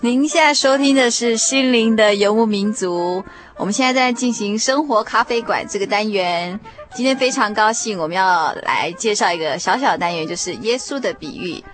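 A woman's voice: mid-range voice, lively intonation, normal speed, soft background music. A woman, politely presenting a radio programme and interviewing a guest.

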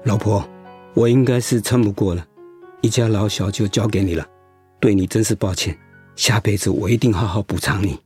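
An actor playing a gravely ill, despondent family man in a radio drama, speaking in a halting, weary, apologetic way. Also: on the low side, fairly even intonation, a little mellow, soft background music. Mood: despairing. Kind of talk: Mandarin radio drama acting.

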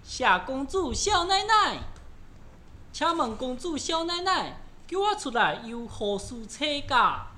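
A woman's voice: high voice, very animated, slow, sharp tone, slight background noise, heavily acted. A woman playing an eager, deferential young servant boy, perky and obsequious in Taiwanese opera style. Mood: eager, obedient. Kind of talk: Taiwanese opera radio drama acting.

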